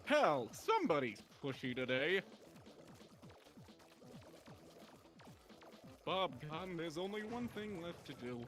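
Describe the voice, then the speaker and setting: Man putting on a vain dim cartoon hero, high-pitched, lively, hammy, rambling, comedy story, music behind. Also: normal speed. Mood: grumbling. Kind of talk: amateur comedic audio story acting.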